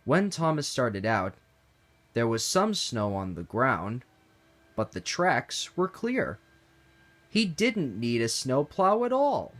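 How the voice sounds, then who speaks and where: A man reading a children's storybook aloud, in an expressive, deliberate way. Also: mid-range voice. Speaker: read-aloud narrator.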